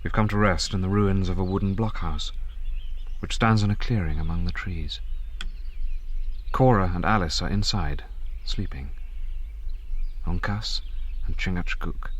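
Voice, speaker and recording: An actor playing a courteous, earnest army major, speaking and narrating thoughtfully in a radio drama. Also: male voice, sits low, a little hiss or hum. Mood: quiet, observant. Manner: polite, measured, introspective. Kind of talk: radio drama acting and first-person narration. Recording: faint outdoor ambience with birdsong.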